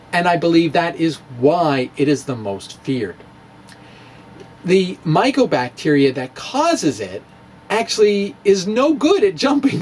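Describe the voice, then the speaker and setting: A man's voice: high voice, a little hiss or hum. A middle-aged man explaining math in a chatty, rambling way, chuckling at his own asides. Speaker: physics blogger explaining math. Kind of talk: casual explanatory talk, demonstrating with props.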